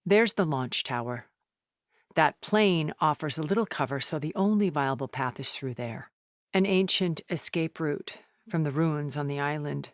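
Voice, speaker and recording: An actress playing a refined, cultured, coolly composed older woman in a video game, speaking in a poised, deliberate, articulate way. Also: low-pitched, animated. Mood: businesslike. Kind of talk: scripted video game dialogue, art commentary.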